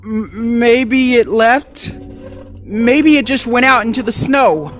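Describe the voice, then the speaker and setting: A man's voice: high-pitched, takes it slowly, faint music behind, voice pushed up. A man voicing an anxious, stammering male Great Dane in a storybook reading. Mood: panicked. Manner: nervous, stammering, flustered. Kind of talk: storybook character voice.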